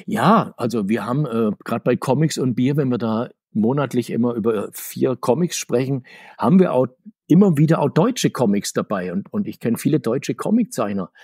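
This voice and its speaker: Male interview guest, husky tone, talkative and self-correcting, dry close sound. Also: mid-range voice, animated, moderate pace, warm tone, no echo. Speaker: guest, comics author. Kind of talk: conversational podcast interview.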